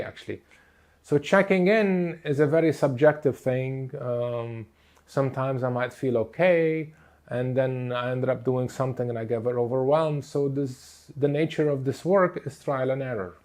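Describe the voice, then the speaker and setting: Middle-aged guy, portuguese first language, slow thoughtful talk about practice, lots of ums. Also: medium pitch, darkish tone. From Lebanon. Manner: thoughtful, unhurried, many pauses and fillers. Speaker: speaker on inner child work. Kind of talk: reflective teaching talk.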